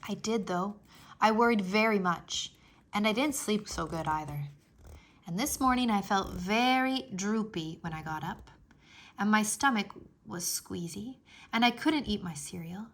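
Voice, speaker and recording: A woman voicing an outspoken, worried kindergarten girl telling her own story in an expressive, childlike read-aloud. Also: medium pitch, a little slow.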